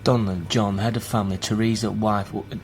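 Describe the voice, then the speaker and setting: A man's voice: lowish voice, fairly even intonation, normal speed, slight background noise, lightly acted. An actor playing an earnest man researching his family history in a naturalistic radio drama. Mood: interested.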